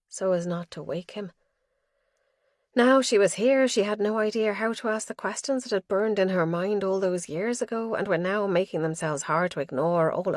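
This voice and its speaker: A woman's voice: medium pitch, no room sound, studio quality. A woman reading scripted narration as the narrator of a mystery audiobook.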